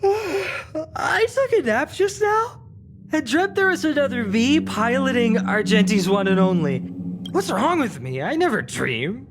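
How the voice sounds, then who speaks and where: A woman's voice: high-pitched, very animated, a little slow, background noise. A woman voicing a feisty young female pilot in a video game, flustered and stammering as she hides that she cares. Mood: uneasy, sheepish.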